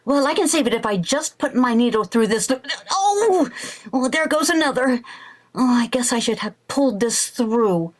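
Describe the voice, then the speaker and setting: A woman playing an excitable, scheming, flighty housewife in a 1940s-style radio comedy, theatrical and full of exclamations. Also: a little high, normal speed. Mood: flustered. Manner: theatrical, exclamatory, mock-innocent. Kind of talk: 1940s radio sitcom acting.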